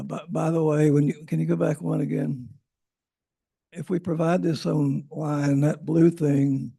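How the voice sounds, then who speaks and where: A man's voice: a little high, vocal fry, dark tone, slightly rough. A man giving an informal, rambling presentation at a public meeting, often correcting himself as he goes. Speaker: transit agency presenter. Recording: Zoom meeting audio.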